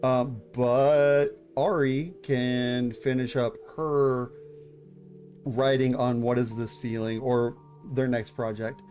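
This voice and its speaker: Male streamer, 30s, mid-pitched, halting casual chat, soft music bed. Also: little pitch movement. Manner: hesitant, many fillers and restarts, reads chat aloud. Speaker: VTuber streamer hosting writing critiques.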